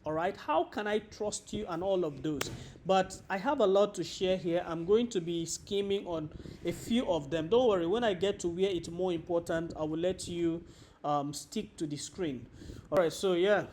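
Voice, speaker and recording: A man with a Nigerian accent giving a training presentation as a sales coach, instructive and deliberate, posing rhetorical questions. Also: high-pitched, darkish tone, a little hiss or hum.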